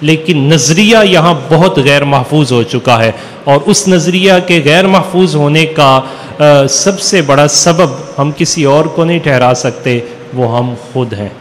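A middle-aged Pakistani man, a religious scholar, giving a formal, somewhat halting convention speech into podium microphones. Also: fairly high pitch. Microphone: podium microphones through a PA. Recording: reverberant PA hall with background noise.

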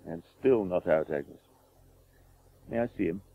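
A consoling older gentleman in a radio play. The voice is lowish, gentle and husky, heard through a thin, phone-like recording. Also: a little slow, heavily acted. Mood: gently consoling. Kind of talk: radio drama acting. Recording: muffled, phone-like radio tape.

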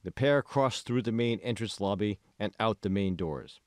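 A man's voice: lowish voice, normal speed, no echo, clean studio sound. A man reading scripted audiobook narration as the author of the book.

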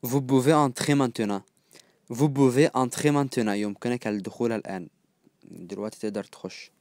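A man with an Egyptian accent teaching phrases, repeating each one slowly and then glossing it in quick, casual asides. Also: mid-range voice, a little mellow, no echo, clean studio sound. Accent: Egyptian Arabic. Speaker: language teacher. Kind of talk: phrase-by-phrase language lesson.

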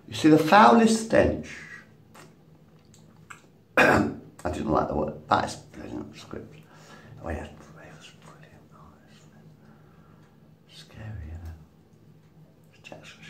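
A man's voice: a little high, vocal fry, a bit bright, distant mic. A man in his fifties rambling to camera in a casual monologue, swearing and laughing at his own jokes, filmed on a phone at arm's length in a small room. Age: 50s. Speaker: vlogger. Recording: roomy echo, from the mic, faint background noise.

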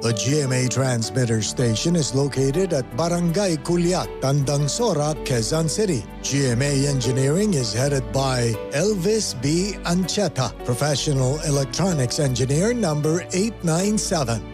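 A man reading a formal scripted station announcement in a measured, carefully enunciated way. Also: on the high side, animated. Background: background music.

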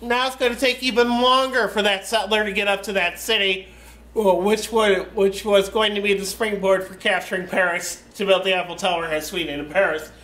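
Bright, high-voiced American man, echoey room. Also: steady tone, brisk. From United States. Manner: rambling, chatty, wanders off topic. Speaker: let's play host. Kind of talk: casual game commentary.

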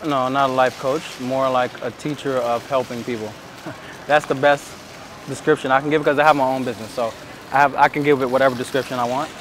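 A man from the States talks in a relaxed, curious way, asking questions in a mid-range voice. He is recorded by a far-off camera in a city square, with clear street noise behind him. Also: medium pitch, fast. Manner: relaxed, probing questions, laughs easily. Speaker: dating coach. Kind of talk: casual chat. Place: outdoors in a busy city square. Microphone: far hidden camera.

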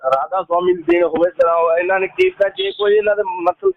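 Over a thin, tinny phone line comes a man with a Punjabi accent and a high voice. He argues informally and quickly, protesting in plain, repeated phrases as a caller defending his side. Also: big pitch swings, gravelly.

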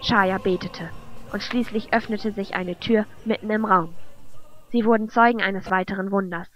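A woman's voice: mid-range voice, fairly even intonation, moderate pace, muffled recording. A woman reading scripted fantasy narration as the storyteller of an audio drama. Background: clear music.

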